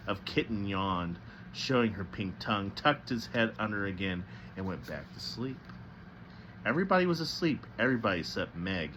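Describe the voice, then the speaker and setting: A man's voice: lowish voice, a bit bright, slight background noise, low-quality recording. A man hosting a storybook read-aloud, reading with dramatic emphasis and adding casual commentary. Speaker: host reading books aloud.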